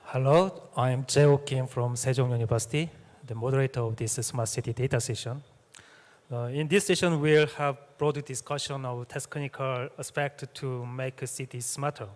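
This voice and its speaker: Man moderating in a reverberant hall. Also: mid-range voice. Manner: hesitant, frequent "uh" fillers and restarts. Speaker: session moderator. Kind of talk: moderating a symposium session.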